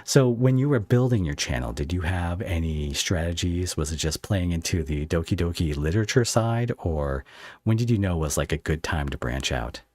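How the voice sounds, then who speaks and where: A man with a very low voice hosts a casual interview podcast. His delivery swings widely in pitch, and he tends toward effusive, rambling talk. The sound is close and dry. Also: animated, moderate pace, a little mellow, no echo. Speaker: podcast host interviewing a guest.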